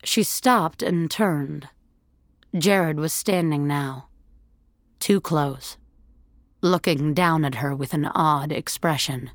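A woman narrating scripted suspense fiction in a measured, dramatic storytelling manner. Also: deep voice, no room sound.

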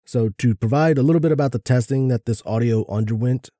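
Very mellow, dark-voiced man. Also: mid-range voice, fast, dry recording, studio quality. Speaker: podcast co-host. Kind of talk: casual chat reading notes aloud.

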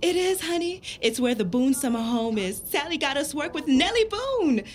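High-voiced, hopeful wife. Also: female voice, sing-song, moderate pace. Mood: elated. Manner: persuasive, folksy. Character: hopeful, practical Southern wife and seamstress. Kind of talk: audio drama dialogue.

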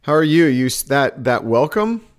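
A man with a lively, animated voice co-hosts a sports podcast. He sits in an easy middle pitch and teases his partner, firing off one question after another in a relaxed way. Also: moderate pace. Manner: teasing, asks lots of questions. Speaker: podcast co-host. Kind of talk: casual sports podcast chat.

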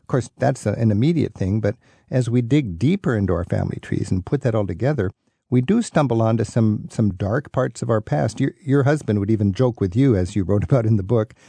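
Man, radio host, casual conversational interviewing, mellow tone, creaky gravelly voice. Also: fairly low pitch, expressive, brisk, no echo. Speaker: radio travel show host.

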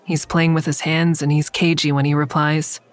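An actress playing an observant, wry, secretive woman who narrates her own story in an audio drama, measured and descriptive. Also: low voice, flat delivery, close to an everyday voice. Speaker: narrator and lead character.

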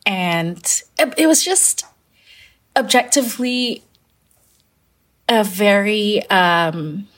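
A young woman from Malaysia talks about her work in a relaxed, reflective way. She stops and restarts often, feeling for the right words, at an ordinary middle pitch. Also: drawn-out words, pauses often. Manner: thoughtful, many fillers and restarts. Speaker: fashion designer guest. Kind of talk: casual interview answers.

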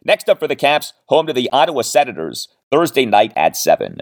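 A man hosting a sports podcast, recapping games and statistics with emphatic, deliberate phrasing. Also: medium pitch, sing-song. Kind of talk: sports recap commentary with stats.

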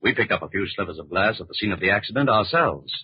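An actor playing a firm, courteous FBI agent with a clipped, official manner in a 1940s radio drama. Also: male voice, on the low side, expressive, fast, breathy edge, no echo. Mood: businesslike. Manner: clipped, official, matter-of-fact. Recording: muffled old radio broadcast recording.

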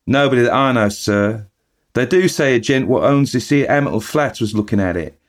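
A man voicing a young Cockney bellboy who talks in rough working-class speech, full of sirs. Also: mid-range voice, expressive, brisk, voice pushed up, natural voice. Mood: chatty. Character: young Cockney bellboy, plain-spoken and earnest.

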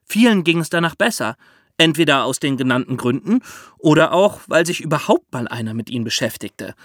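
A man hosting a podcast, explaining step by step in lively storytelling for children with theatrical emphasis. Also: on the high side, a little fast, no room sound, clean studio sound.

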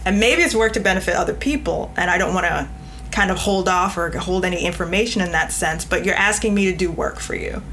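A woman's voice: lowish voice, brisk, fairly bright tone. Emphatic woman in a noisy room. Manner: emphatic, voices imagined replies as quotes. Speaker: podcast guest, literary editor. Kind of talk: panel interview talk.

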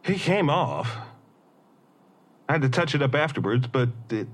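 A woman acting a nosy, teasing housemate with a husky voice in audio drama dialogue. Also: extremely low pitch, very animated, moderate pace, full character voice. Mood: chatty. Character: nosy, teasing housemate calling through a door.

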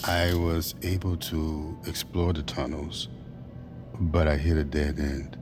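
Very deep, earnest reassuring man. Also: level tone, takes it slowly. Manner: soothing, earnest. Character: earnest, reassuring male escape planner. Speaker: actor in an audio fiction drama. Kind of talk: audio drama acting. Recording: music behind the voice.

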